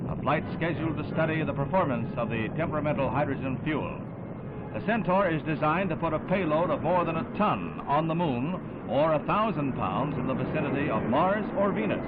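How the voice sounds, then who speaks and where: A man's voice: a little high, heavy background noise. A man narrating a 1960s newsreel in a formal, declamatory announcer's style. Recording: phone-like vintage 1960s newsreel soundtrack.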